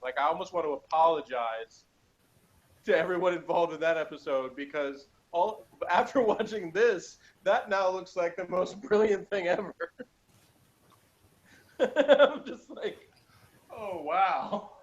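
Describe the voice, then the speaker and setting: A man's voice: sits high, lively intonation, a little slow. A man chatting casually as a review panellist, mocking and exasperated, given to hyperbole. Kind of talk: casual comedic review chat. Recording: dull lo-fi audio.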